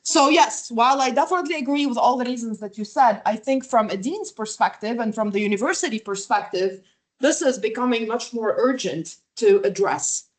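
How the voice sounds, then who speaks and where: A woman's voice: on the high side, lively intonation, brisk. A middle-aged woman from Lebanon giving a workshop lecture over slides on a video call, conversational, often checking in with "right?" and filler words. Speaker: visiting academic presenting a workshop.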